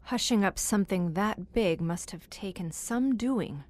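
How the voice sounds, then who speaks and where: A female actor plays an earnest woman drawing out a dark tale in an audio horror drama. Her voice sits at an average pitch. She sounds questioning, absorbed and a little appalled. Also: a little slow, natural voice. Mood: curious. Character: earnest, inquisitive female listener, appalled yet fascinated.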